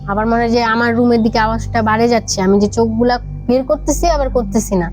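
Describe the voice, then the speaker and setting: Young female guest, Bangladeshi Bengali accent, high voice, halting conversational storytelling, clear music bed underneath. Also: fairly even intonation, a little mellow. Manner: repetitive, halting phrasing in short bursts. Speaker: podcast guest. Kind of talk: personal ghost story told conversationally.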